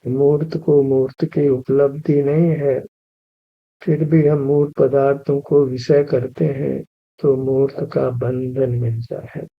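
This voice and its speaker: A man guides a meditation at a very slow, unhurried pace, his voice heavy with gravelly fry. The tone is husky rather than clear. The recording sounds dull and lo-fi. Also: medium pitch. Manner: slow, even, instructive, repeats key phrases. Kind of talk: guided meditation with spiritual teaching.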